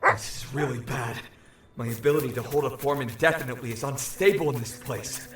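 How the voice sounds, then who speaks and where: A man's voice: mid-range voice, fairly quick, fairly bright tone, close to an everyday voice. Man playing an anxious young shapeshifter, roomy reverb. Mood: panicked. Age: young adult. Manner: fretful, muttering to himself. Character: anxious young shapeshifter from another realm, overwhelmed. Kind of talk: inner monologue in audio drama.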